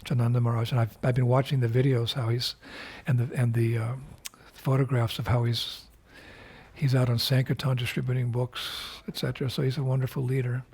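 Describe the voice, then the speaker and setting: An older man, a spiritual teacher, recording a video message in an unhurried, reflective way, pausing often with fillers and restarts. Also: medium pitch, flat delivery, darkish tone, slightly rough.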